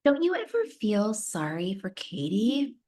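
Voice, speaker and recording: A woman's voice: medium pitch, very animated, measured pace, dark tone. A woman playing a frank, questioning pageant contestant at a screenplay table read. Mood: challenging.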